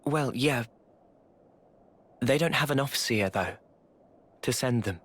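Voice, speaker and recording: An actor playing an earnest, reflective young soldier in a video game, with a measured, sincere delivery. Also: male voice, mid-range voice. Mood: quietly defensive. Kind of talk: scripted game narration and dialogue. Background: loud music.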